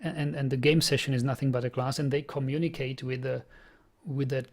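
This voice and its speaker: A man's voice: mid-range voice, steady tone, a little fast. A young man with a Czech accent, chatting casually on a programming stream, often repeating words as he thinks aloud. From Czech Republic. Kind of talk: casual live-stream chat.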